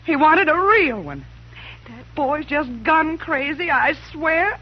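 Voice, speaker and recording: A woman's voice: high-pitched, sing-song, slow pace, heavily acted. Fretful frontier mother. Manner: fretful, pleading. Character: worried, weary frontier mother of a runaway boy. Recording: dull lo-fi 1950s radio broadcast.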